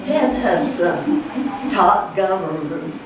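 Older woman host, slow and meandering, low-ish voice, heard from afar with hiss. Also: animated. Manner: halting, full of "anyway". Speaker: event host introducing the speaker. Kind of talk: rambling informal introduction. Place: small meeting room, indoors. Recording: echoey room, distant camera mic, hiss.

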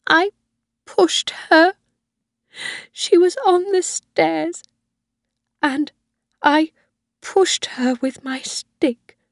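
A woman voicing a frail, obsessive old grandmother who talks in a shrill, pleading way, read as an audiobook. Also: very slow, creaky, pauses often, dry recording, studio quality, full character voice. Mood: confessing. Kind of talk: audiobook reading.

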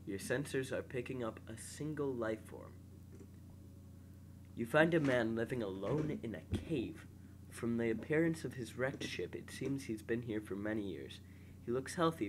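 A young man with a slightly nasal, congested voice, calmly commentating a space game and reading its text aloud while muttering to himself. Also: mid-range voice, noisy background. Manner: relaxed, mumbles quietly to himself while playing. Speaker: gameplay host.

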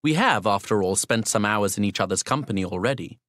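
A man's voice: fairly low pitch, animated, fairly quick, a bit bright, dry recording, studio quality. A man voicing a courteous, talkative young Pakistani man who hosts a guest with formal, reassuring politeness. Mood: courteous, coaxing.

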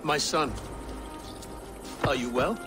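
A man's voice: on the high side, slow pace, over music. An actor playing a cautious, newly crowned middle-aged king in a video game, speaking formally. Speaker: game character. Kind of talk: game dialogue acting. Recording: game audio with noisy ambience.

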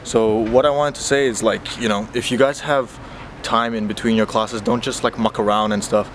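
A man's voice: on the low side, fairly even intonation, moderate pace, a little hiss or hum. A young man, a university student, chatting casually to a handheld phone camera as he vlogs his day, giving advice with lots of fillers. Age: young adult. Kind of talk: casual vlog talk to camera. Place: restaurant, campus outdoors and gym. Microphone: handheld phone camera at arm's length.

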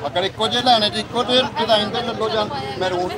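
A man's voice: high-pitched, fairly even intonation, normal speed. An older man selling suits at a market stall, pushily pointing out each piece. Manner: pushy, keeps pointing things out. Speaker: suit seller. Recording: loud crowd noise, off-mic.

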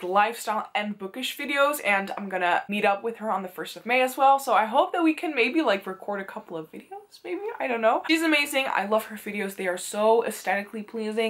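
A woman's voice: mid-range voice, a bit bright. A Dutch woman in her twenties, a native Dutch speaker with an American-style English accent, chatting casually to camera from her bedroom with lots of "like" fillers. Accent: Dutch-tinged American English. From Netherlands. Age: 20s. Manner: chatty, many "like" fillers, gestures a lot with hands. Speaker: booktuber host. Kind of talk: casual vlog chat. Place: small bedroom in front of bookshelves, indoors.

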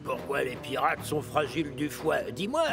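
An actor voicing a gruff, good-natured male diesel engine in a children's cartoon, with a gravelly, drawling voice. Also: mid-range voice, lively intonation, normal speed, music in the background. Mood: puzzled.

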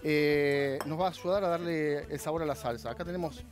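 A man's voice: a little high, steady tone, a little fast, background noise. A man in his thirties from La Plata with an Argentine Spanish accent, a chef explaining his recipe with hesitant restarts in a TV studio kitchen. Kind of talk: cooking demonstration chat.

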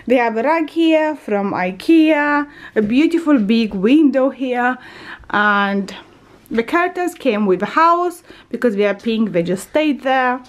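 A woman's voice: on the high side, animated, slow pace. Chatty woman touring her house. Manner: chatty, self-correcting, walks while filming. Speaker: vlogger giving a house tour. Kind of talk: casual home tour narration. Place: bathroom and bedroom of a house, indoors. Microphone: handheld camera.

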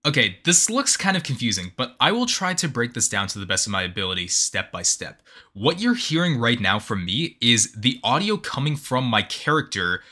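A man's voice: medium pitch, sing-song, moderate pace, sharp tone. A man hosting a casual tutorial, patiently explaining things step by step and stressing key words. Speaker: tutorial host. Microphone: microphone, voice-over of screen recording.

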